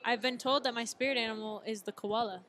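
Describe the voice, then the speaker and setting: A woman co-hosting a casual sports show in English, her second language, playful and quick to push back. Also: fairly high pitch, steady tone, fairly bright tone. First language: not English. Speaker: co-host.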